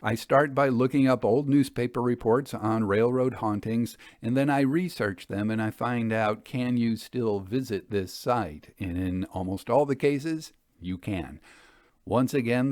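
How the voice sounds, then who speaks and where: A man hosting and narrating a literary story podcast, with measured, deliberate phrasing. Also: mid-range voice, darkish tone, dry recording, clean studio sound. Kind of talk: literary storytelling and scripted commentary.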